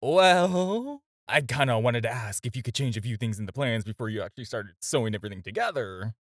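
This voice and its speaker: A man acting in an audio roleplay as a smooth-talking, flirtatious villain who teases and coaxes the listener with playful pauses. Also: mid-range voice, dry recording, clean studio sound. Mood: sheepish, pleading. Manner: coaxing, playful, hesitant pauses and drawn-out teasing. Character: smooth-talking, flirtatious adult male supervillain, teasing and persuasive.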